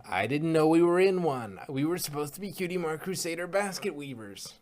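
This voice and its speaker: A man's voice: on the high side, animated, fairly quick, a little mellow, voice pushed up, natural voice. A man voicing cheeky, defensive young pony fillies in a fan fiction reading. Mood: indignant.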